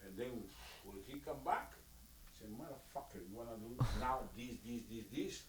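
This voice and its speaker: A man's voice: medium pitch, moderate pace, reverberant, background noise. A middle-aged man whose first language is Spanish, speaking broken English in an insistent, emphatic casual chat.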